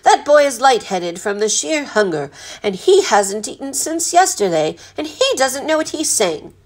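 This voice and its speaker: A woman voicing a proud, courteous male butler in a storybook, speaking formally, precisely and calmly. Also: on the high side, moderate pace, pitched above the performer's own voice. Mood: composed. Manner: formal, precise, perfectly calm.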